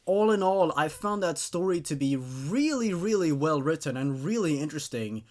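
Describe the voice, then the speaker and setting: A man's voice: on the high side, animated, normal speed, a little mellow. A man hosting a horror story show, speaking in an enthusiastic, emphatic, casual review style and often repeating words. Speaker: host reading and reviewing horror stories.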